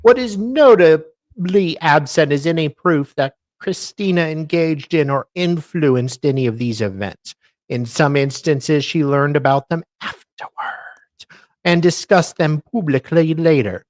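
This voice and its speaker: A man's voice: fairly high pitch, a little slow, dry recording. A man co-host reading formal text aloud, stumbling now and then over the words.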